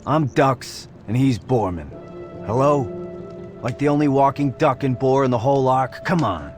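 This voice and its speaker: A man's voice: mid-range voice, normal speed, darkish tone, lightly acted. An actor voicing a wisecracking, wary mutant duck man with a skeptical manner in a video game. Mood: sarcastic, agitated. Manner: sarcastic, skeptical. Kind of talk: video game character dialogue. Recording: voice under game music.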